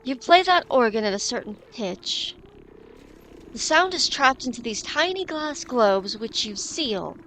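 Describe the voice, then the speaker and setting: A woman acts out a bold magician heroine from a pulp radio play. Her voice is high and forceful, declamatory and defiant, over noisy background effects. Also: animated, unhurried. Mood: determined. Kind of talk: pulp audio drama acting.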